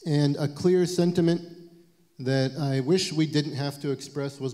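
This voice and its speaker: A man in his forties, speaking on a panel in a halting, thoughtful way with frequent restarts, in an event hall. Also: mid-range voice, dark tone. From United States. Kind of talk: panel talk. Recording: long reverb of a lecture hall.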